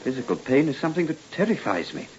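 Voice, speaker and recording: Male actor as timid middle-aged clerk, stammering, polite, expressive pitch, radio play, muffled old recording. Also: quick pace, lightly acted. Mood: glum, rueful. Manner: hesitant, stammering, deferential. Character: meek, anxious, ailing male ledger clerk. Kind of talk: old-time radio drama acting.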